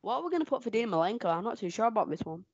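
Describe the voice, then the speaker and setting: A woman co-hosting a casual podcast, excitable and quick to laugh. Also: a little high, slightly rough, no echo, highs cut off. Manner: excitable, laughs often, animated outbursts. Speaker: podcast co-host. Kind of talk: casual chat ranking wrestlers.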